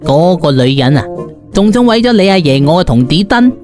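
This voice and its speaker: A man's voice: a little high, very animated, a little fast, over music. A man voicing an arrogant, scornful ancient immortal in a storybook, boastful and impatient. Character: arrogant ancient immortal sorcerer calling himself grandpa, scornful. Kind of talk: Cantonese audiobook character voice.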